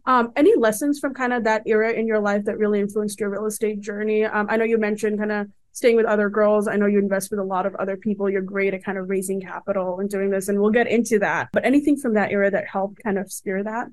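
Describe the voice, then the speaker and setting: A woman's voice: mid-range voice, level tone, quick pace, few pauses. A woman hosting a podcast in an upbeat, enthusiastic way, asking her guest questions. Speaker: podcast host.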